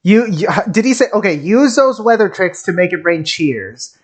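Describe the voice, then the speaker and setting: A man streaming casual game commentary, excitable and jokey, rambling as he reacts. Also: sits high, normal speed.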